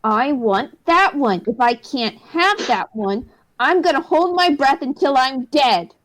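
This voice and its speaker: A woman's voice: sits high, lively intonation, measured pace, a bit bright, low-quality recording. A woman voicing a bratty, stubborn little boy in a radio play, whiny and demanding. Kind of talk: hobby radio play acting.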